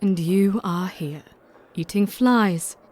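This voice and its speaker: A woman's voice: medium pitch, darkish tone. Woman playing resolute, formal Victorian lady. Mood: steely, cutting. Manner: formal, measured, steely. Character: resolute, composed Victorian young woman confronting evil. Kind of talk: audio drama acting.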